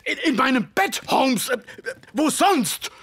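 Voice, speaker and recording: An actor playing a flustered, stammering gentleman sidekick in a radio play, with a husky, creaky voice. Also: extremely high pitch, big pitch swings, slow, exaggerated voice. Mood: indignant, shocked. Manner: stammering, hesitant, indignant. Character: Dr. Watson, flustered, loyal gentleman sidekick, adult man.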